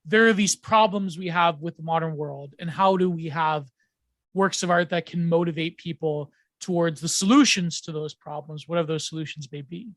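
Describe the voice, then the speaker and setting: Podcast guest: high-pitched man, rambling with fillers, dry close sound. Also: moderate pace, a bit bright, no echo. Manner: rambling, many ums and restarts, laughs often. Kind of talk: casual podcast chat.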